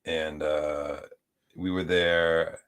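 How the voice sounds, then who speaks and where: A man's voice: a bass voice, little pitch movement, extremely slow, fairly bright tone, smooth. A man from the United States chatting as a podcast guest, easygoing and rambling as he tells stories. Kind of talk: casual chat.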